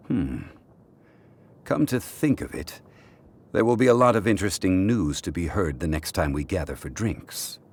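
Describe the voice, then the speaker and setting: A man playing a wise, courteous ancient god in human form for a video game, speaking formally and reflectively in measured phrases. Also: sits low, slight background noise. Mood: calm, musing. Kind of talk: scripted video game character voice lines.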